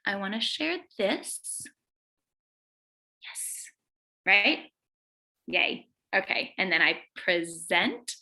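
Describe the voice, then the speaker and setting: Bright-voiced chatty woman. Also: medium pitch, sing-song, extremely slow, long pauses. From North Carolina, US. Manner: chatty, rambling, many fillers and self-corrections. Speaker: guest speaker, former tour guide. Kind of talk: live online presentation. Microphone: video call.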